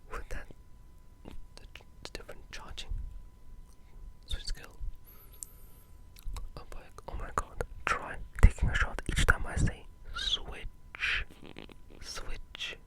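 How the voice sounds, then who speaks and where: A gaming creator speaking in a breathy, unvoiced whisper, giving rambling but enthusiastic ASMR-style game commentary. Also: slow pace, long pauses.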